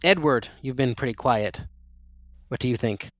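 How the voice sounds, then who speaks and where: A man's voice: mid-range voice, normal speed, no echo. A man acting as game master, explaining rules and setting up the scene for his players. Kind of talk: running a tabletop game.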